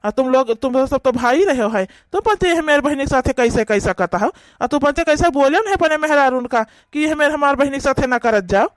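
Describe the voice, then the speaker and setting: A man's voice: extremely high pitch, lively intonation, brisk, few pauses, no echo, clean studio sound. A man voicing an indignant young brother in a village family cartoon, arguing and scolding with pointed questions to protect his sister. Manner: argumentative, scolding, rhetorical questions. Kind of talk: rural family cartoon dialogue.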